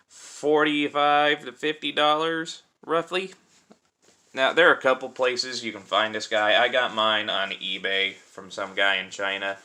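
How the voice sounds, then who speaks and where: A man's voice: mid-range voice, bright, forceful tone, clear, resonant tone. A man giving a casual hands-on product review in an informal, conversational way, thinking aloud as he demonstrates. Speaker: toy reviewer and host.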